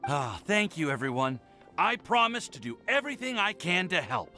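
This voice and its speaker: A man's voice: sits high, sing-song, moderate pace, bright, forceful tone, faint music behind, heavily acted. An actor playing an earnest, lovestruck young man full of self-doubt in a video game, speaking formally and hesitantly. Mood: grateful.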